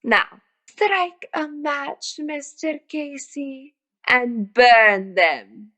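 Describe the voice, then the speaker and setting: A woman playing a menacing, haughty phony psychic in a radio comedy drama, formal, deliberate and imperious. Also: high-pitched, sharp tone, slight background noise, exaggerated voice. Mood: cold, threatening. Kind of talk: old-time radio villain acting.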